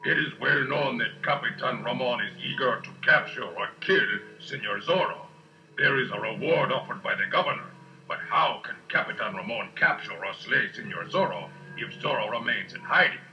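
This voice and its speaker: A man's voice: medium pitch, expressive, moderate pace, soft background music, natural voice. An actor playing a gossipy, earnest townsman of old California in a dramatised storybook. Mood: confiding. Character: townsmen of old California: innkeeper, retired pirate. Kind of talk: dramatised storybook recording acting. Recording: dull, band-limited storybook recording.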